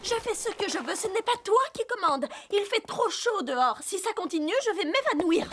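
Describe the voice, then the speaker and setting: A haughty, spoiled teenage girl in a cartoon, snapping back at the others. Her voice is very high and lively, with a faint hiss underneath. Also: moderate pace, exaggerated voice. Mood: snippy. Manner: snippy, defiant. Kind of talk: French cartoon dub.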